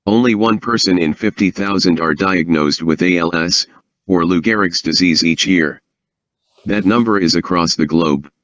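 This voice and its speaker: Deep synthetic male voice, dry and dull. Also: dry recording. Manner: even, fluent delivery with no fillers. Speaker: author and guest. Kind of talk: reading out a prepared personal account.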